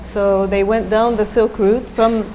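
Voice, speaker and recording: A woman's voice: mid-range voice, level tone, unhurried, noisy background. A middle-aged woman from Japan, a designer talking as a guest in an onstage interview, thoughtful and hesitant with many fillers.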